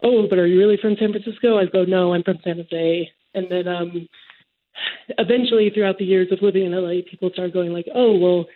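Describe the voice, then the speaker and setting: A man's voice: sits high, steady tone, a little fast. A young man calling into a radio show, chatting casually with easy laughs. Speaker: caller. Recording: phone line.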